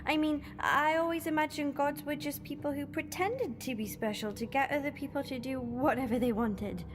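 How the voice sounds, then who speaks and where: A woman's voice: high voice, fairly even intonation, normal speed, background noise, close to an everyday voice. A woman playing a plucky, sceptical young captive in an audio drama, with a cheeky, incredulous manner.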